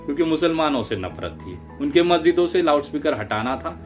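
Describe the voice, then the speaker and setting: A man's voice: fairly high pitch, very animated, a little fast. A man giving political commentary straight to viewers, in an emphatic, indignant and rhetorical manner. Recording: music bed under the voice. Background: background music.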